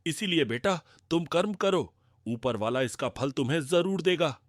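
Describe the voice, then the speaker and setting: A man playing a kindly, frail old wandering holy man in a cartoon moral story, speaking gently. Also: on the high side, animated, normal speed, no room sound, clean studio sound, close to an everyday voice. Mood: kindly, blessing.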